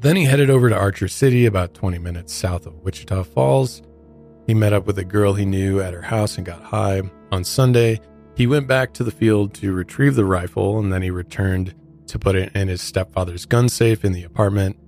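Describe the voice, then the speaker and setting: A man's voice: fairly low pitch, a little fast, darkish tone, soft background music. A man hosting a true crime podcast, casually explaining court clips and the case to his co-host.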